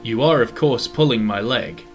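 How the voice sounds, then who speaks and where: A man's voice: medium pitch, normal speed, faint music behind, natural voice. A man voicing an irritable, proud railway owner in an audio drama, blustering and exasperated. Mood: stern.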